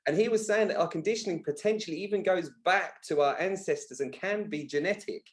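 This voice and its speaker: Guy in his 30s on a self-help podcast, slightly high voice, earnest, repeats stuff to make his point. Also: brisk. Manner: earnest, emphatic, often repeats words and lists for emphasis. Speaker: podcast guest. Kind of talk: conversational personal-development interview.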